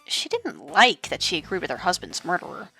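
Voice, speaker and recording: A woman's voice: lowish voice, wildly swooping pitch, fairly quick, vocal fry, sharp tone, slightly rough, faint music behind, poor audio. A woman giving dramatic scripted narration as the narrator of an audio drama.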